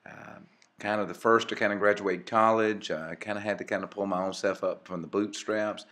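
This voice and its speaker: Man, 40s, very low voice, easygoing co-host talking with lots of "uh"s. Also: fairly quick. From Mississippi. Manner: relaxed, many fillers and restarts. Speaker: channel co-host. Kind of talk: casual voice-over narration.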